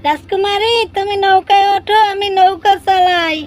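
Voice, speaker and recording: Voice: very high-pitched, fairly even intonation, moderate pace. A performer voicing an indulgent, fretful bird elder who coaxes and placates in a children's cartoon. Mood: doting. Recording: music bed behind the voice.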